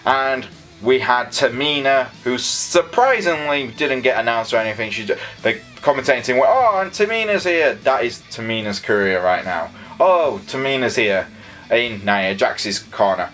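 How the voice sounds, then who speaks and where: Yorkshire man, mid-pitched, eager solo wrestling reviewer, faint music behind. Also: expressive, moderate pace, fairly bright tone. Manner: chatty and enthusiastic, jumps up in pitch on opinions. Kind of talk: casual solo review commentary.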